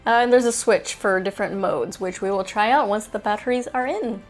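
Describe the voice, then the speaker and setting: A woman's voice: mid-range voice, brisk, soft background music. A woman giving a casual, chatty product review, thinking aloud as she demonstrates. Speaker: toy reviewer, host.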